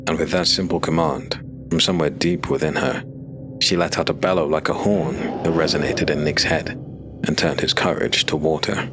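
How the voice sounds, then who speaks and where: Deep deep dude narrating a dark fantasy audiobook, steady pace, music in the back. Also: male voice, moderate pace. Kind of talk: scripted narration.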